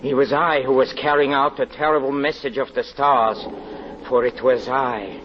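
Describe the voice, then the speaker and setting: An actor playing an anguished, haunted fortune teller in an old radio drama, halting and brooding as he confesses. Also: medium pitch, steady tone, noisy background, lightly acted. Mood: haunted dread. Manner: halting, brooding, repeats words with dramatic hesitation. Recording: dull vintage radio recording, music underneath.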